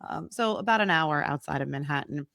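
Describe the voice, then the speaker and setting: Woman, guest, from New York, gravelly fry, warm and chatty, dry close mic. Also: low-pitched, lively intonation, fairly quick, dry recording. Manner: warm, chatty, laughs easily. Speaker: guest, massage and reiki therapist. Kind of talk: casual interview.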